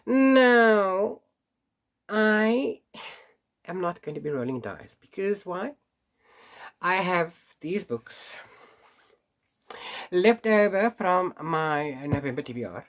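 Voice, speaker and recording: A man's voice: high voice, expressive, takes it slowly, pauses often, fairly bright tone. Guy doing a chatty book vlog, stuffy sniffly nose, keeps restarting and laughing a bit. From South Africa. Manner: chatty and rambling, frequent restarts and little laughs. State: congested from hay fever, sniffly. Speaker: book vlogger. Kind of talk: casual chat to camera.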